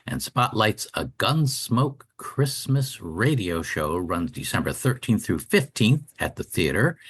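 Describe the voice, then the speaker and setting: A man's voice: fairly low pitch, fairly bright tone, husky. A man co-hosting a radio show, reading scripted community calendar announcements.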